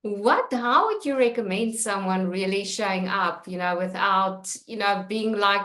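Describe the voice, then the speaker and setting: A woman's voice: mid-range voice, lively intonation, a little slow, fairly bright tone. Woman interviewer, Afrikaans first language, eager and affirming, mid-range voice. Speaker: podcast host. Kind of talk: casual interview chat.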